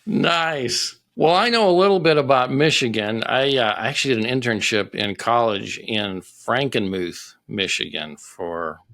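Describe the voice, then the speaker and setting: A man's voice: mid-range voice, unhurried, few pauses, fairly bright tone. A man hosting a casual interview show, greeting guests with upbeat enthusiasm. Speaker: podcast host.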